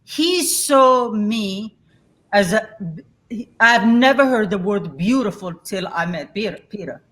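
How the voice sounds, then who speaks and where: A woman's voice: medium pitch, expressive, a little slow, fairly bright tone. Candid older woman from Somalia. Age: 60s. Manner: candid storyteller who laughs easily. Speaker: guest. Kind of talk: reflective interview conversation.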